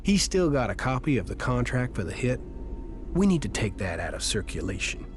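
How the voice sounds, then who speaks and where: Low-voiced, troubled male outlaw played by an actor. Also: very animated, a little fast, a little hiss or hum, lightly acted. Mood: grim. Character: wary former gang outlaw, troubled and fatalistic. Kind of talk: video game character dialogue.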